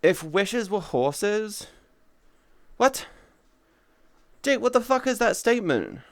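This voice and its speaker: A man's voice: sits high, very animated, slow pace, dry recording, studio quality. A man in his thirties streaming, rambling in casual chat with his viewers, swearing freely and greeting chatters by name. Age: 30s.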